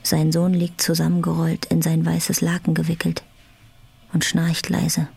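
A woman's voice: deep voice, monotone, unhurried, creaky, a little mellow, slightly rough. A woman reading scripted literary narration as the narrator of a radio drama. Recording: faint music behind.

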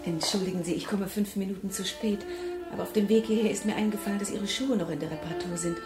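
A woman's voice: mid-range voice, fast, over music, close to an everyday voice. An actress playing a polite, eager housekeeper in her early thirties in a radio crime play. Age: 30s.

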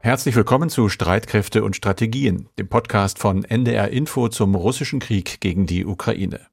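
A man's voice: lowish voice, normal speed, creaky. A man hosting a podcast, reading scripted moderation. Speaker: podcast host.

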